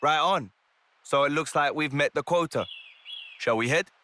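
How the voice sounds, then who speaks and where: Game role: energetic young male fighter, protective and informal, forceful edge, faint noise behind. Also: on the high side, moderate pace. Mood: casual, reassuring. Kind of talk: video game cutscene acting.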